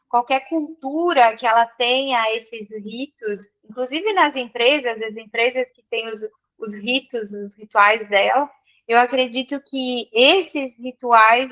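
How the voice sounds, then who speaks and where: A woman's voice: on the high side, muffled recording. A woman from southern Brazil with a gaúcho accent, talking reflectively as an interview guest and repeating phrases for emphasis. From Serra Gaúcha, Rio Grande do Sul, Brazil. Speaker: interview guest, business mentee. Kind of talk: reflective conversation.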